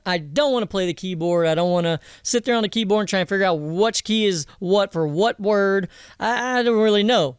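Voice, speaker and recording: High-pitched, smooth-voiced man giving a slangy tutorial. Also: no room sound, clean studio sound. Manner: slangy, jokey, irreverent. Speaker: tutorial presenter. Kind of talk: casual software tutorial.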